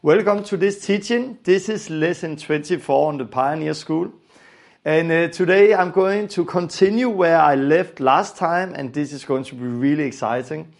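A man with a Danish accent delivers a religious lesson in a fairly high voice with a lot of vocal fry. He is earnest in his teaching and often repeats phrases to stress them. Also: normal speed. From Denmark. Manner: earnest, repetitive phrasing for emphasis.

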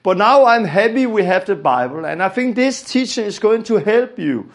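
A man's voice: high voice, big pitch swings, moderate pace. A man from Denmark with a Danish accent, teaching a religious lesson earnestly and repeating phrases for emphasis.

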